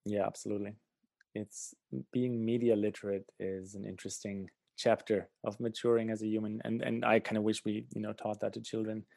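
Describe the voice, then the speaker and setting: Low, creaky-voiced man interviewing. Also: flat delivery, brisk, a little mellow, no echo. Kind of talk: conversational interview.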